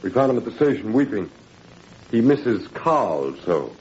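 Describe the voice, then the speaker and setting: Smug, deep-voiced Nazi official. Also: male voice, sing-song, unhurried, a little mellow. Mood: smug, menacing. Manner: sneering, mock-courteous, taunting. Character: smug, menacing Nazi official, mockingly polite. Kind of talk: 1940s radio acting. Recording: muffled, hissy 1940s radio recording.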